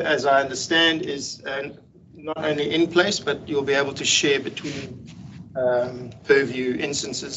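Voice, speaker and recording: A man presenting a technical meetup talk over slides on a video call, explaining in a relaxed way with frequent ums and restarts. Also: mid-range voice. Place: home room on a video call. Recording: dull lo-fi video call audio with faint hiss.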